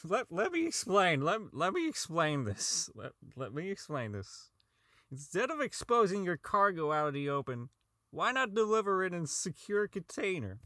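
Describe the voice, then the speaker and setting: Rambling, slow-talking oddball inventor played by a man. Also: fairly high pitch, bright, forceful tone, no echo, studio quality, heavily acted. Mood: giddy, flustered, then proud, salesmanlike. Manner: rambling, stammering, repeating himself, profane. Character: socially inept, unravelling robot designer recording a work log. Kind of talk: audio drama monologue as a spoken log.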